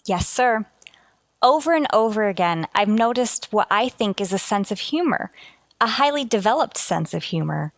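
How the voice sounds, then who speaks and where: A woman's voice: mid-range voice, animated, normal speed, dry recording, lightly acted. Starship officer, female actor: earnest and deferential, careful speech, mid-range voice, radio sci-fi. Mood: earnest, puzzled. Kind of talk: radio sci-fi drama acting.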